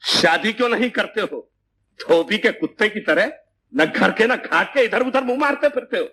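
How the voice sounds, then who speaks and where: A man's voice: sits high, lively intonation, normal speed, bright, forceful tone, a little hiss or hum, heavily acted. An actor playing a haughty, irritable, sarcastic wealthy father in a fussy 1950s Hindi film style. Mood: irritated. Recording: old 1950s film soundtrack with music.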